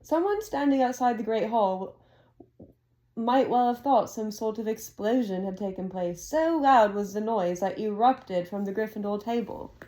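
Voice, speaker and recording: A woman reading a storybook aloud to her child, moving along briskly and gently coaxing between passages. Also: medium pitch.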